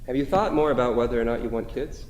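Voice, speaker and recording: A man's voice: mid-range voice, fast talker, darkish tone, lightly acted. A man in a staged play reading, playing an anxious, hesitant, over-analytical boyfriend who speaks earnestly in an echoey hall. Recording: echoey theatre hall, some background noise.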